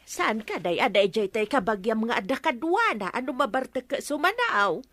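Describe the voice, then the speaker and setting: A woman's voice: on the high side, very animated, moderate pace, fairly bright tone, no echo. An actress playing a complaining village woman in an Ilocano comedy radio drama, with an Ilocano accent.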